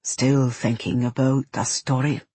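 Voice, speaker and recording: A woman voicing a big, calm male guardian in an audiobook, pleasant and matter-of-fact with quiet menace. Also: a bass voice, a little slow, slightly rough, dry recording, voice pushed down, full character voice. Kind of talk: audiobook character voice.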